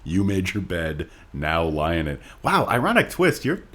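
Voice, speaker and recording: A man co-hosting a podcast, talking casually about books with joking, deadpan asides. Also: sits low, moderate pace. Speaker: podcast host.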